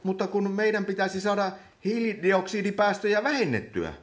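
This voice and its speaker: Actor as an exasperated, scolding meeting chairperson with swooping pitch. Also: animated, natural voice. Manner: scolding, hesitant, teacherly. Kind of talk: comic radio play acting.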